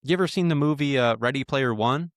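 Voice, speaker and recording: This is a man in his twenties with an average-pitched voice and a very dry recording. He hosts a casual interview. His questions come out informally and a little haltingly, as if he is assembling them while he speaks. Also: no echo. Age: 20s. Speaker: podcast host, interviewer.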